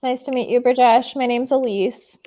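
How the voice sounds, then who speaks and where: A woman's voice: a little high, steady tone, moderate pace, mellow. A woman from the United States tutoring English in an online conversation over a video call, speaking encouragingly with frequent fillers.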